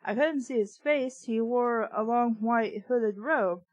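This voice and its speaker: High-voiced woman reading aloud with sarcastic asides. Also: slow, no room sound. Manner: sarcastic, exasperated asides with swearing. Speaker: book reader and commentator. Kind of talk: audiobook-style reading with casual asides.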